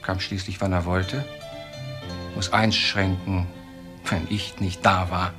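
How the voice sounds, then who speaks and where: A bitter husband in a crime radio drama, with a very low voice. He phrases things deliberately and ironically, with faint music behind him. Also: flat delivery. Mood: ironic, bitter. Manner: deliberate, ironic, choosing words carefully. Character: bitter, measured husband describing his wife's friendship. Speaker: actor in a crime radio play.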